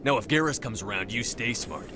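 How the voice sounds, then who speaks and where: A man's voice: mid-range voice, animated, fairly quick, very noisy, close to an everyday voice. An actor playing a menacing, threatening male thug in a video game. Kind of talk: video game cutscene acting.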